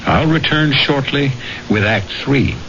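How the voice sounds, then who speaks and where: A man hosting a radio mystery series, narrating suspense in a theatrical, deliberate and wryly ominous way. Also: medium pitch, heavy background noise. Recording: muffled old radio broadcast, loud music.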